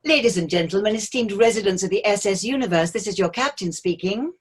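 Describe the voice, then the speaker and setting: A woman's voice: mid-range voice, fast talker, husky, lightly acted. A woman playing an unruffled cruise ship captain in a staged play, making a courteous official announcement. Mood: formal, calm.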